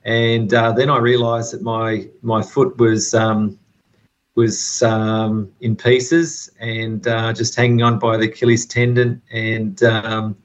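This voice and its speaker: A man in his fifties with an Australian accent, an interview guest telling his story in a relaxed way with many ums and uhs. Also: fairly low pitch, slow, dull audio. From Sydney, Australia. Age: 50s.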